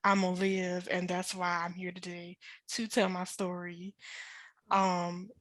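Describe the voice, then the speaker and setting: A woman's voice: on the low side, level tone, measured pace, fairly bright tone, no room sound. A woman in her thirties giving a personal testimony as an interview guest, emphatic and full of faith. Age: 30s.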